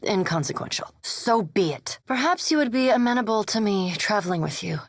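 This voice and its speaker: A reserved vampire scholar speaks in a medium-pitched woman's voice, choosing words with formal precision. The game dialogue is measured and coolly polite, recorded close and dry with no room sound. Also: animated, dry recording. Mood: formal, composed. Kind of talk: video game character dialogue.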